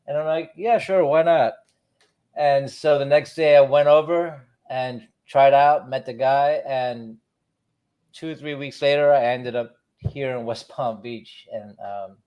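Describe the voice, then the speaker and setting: Middle aged dj guy telling old stories on a podcast, relaxed, stops and starts, medium voice. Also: medium pitch, measured pace. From born in Cuba, moved to the United States as a child. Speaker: DJ, podcast guest. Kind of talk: casual reminiscing in an interview.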